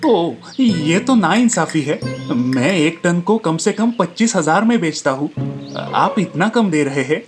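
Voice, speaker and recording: A man's voice: fairly high pitch, lively intonation, unhurried, soft background music, voice pushed up. A man voicing a meek, deferential village fruit seller who pleads servilely in a cartoon story. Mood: pleading protest.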